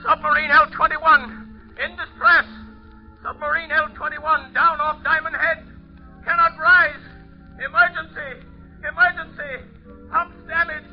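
A man's voice: very high-pitched, little pitch movement, a little slow, long pauses, soft background music. An actor playing a tense, urgent military man raising the alarm in an old radio drama. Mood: urgent distress. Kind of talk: 1930s-40s radio drama acting. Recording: muffled old radio recording.

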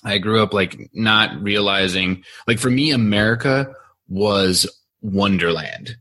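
Man from Ukraine, 30s, company CEO, chatty storyteller, bright tone, dry close sound. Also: fairly low pitch, measured pace, dry recording. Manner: chatty, many fillers and restarts, laughs often. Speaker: company CEO, podcast guest. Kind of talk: casual interview storytelling.

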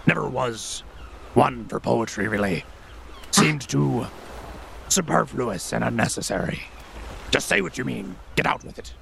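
A man voicing a raspy, blunt male whaling ship captain who talks plainly with old-fashioned phrasing. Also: mid-range voice, animated, unhurried, long pauses, a bit bright, slight background noise, heavily acted. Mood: gruff.

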